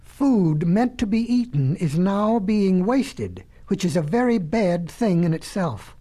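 A patient, sensible work ox, voiced by a woman storyteller, reasoning in a calm, unhurried way. His reproach stays polite and measured, in a storybook tone. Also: medium pitch.

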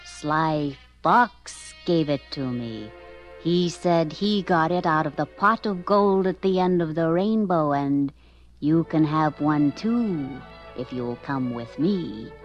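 A woman's voice: low-pitched, expressive, lo-fi sound, soft background music, voice pushed down. A woman voicing a sly, slippery green snake in a storybook, hissing and drawing out the words. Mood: sly, coaxing. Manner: hissing, slow and drawn-out.

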